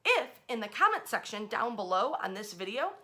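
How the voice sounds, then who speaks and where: A woman's voice: medium pitch, fairly quick. An American woman hosting a history storytelling series, speaking in a casual, conversational and emphatic way. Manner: animated and emphatic, acts out short quotes.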